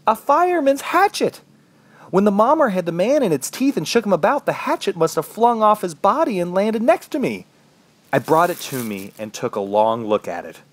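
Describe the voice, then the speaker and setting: An actor playing an injured, desperate man trapped in the woods, narrating a horror radio drama in a halting, dramatic way. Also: fairly low pitch, expressive, close to an everyday voice. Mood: intent. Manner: dramatic, halting, with anguished outbursts. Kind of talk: first-person horror radio drama monologue. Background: music.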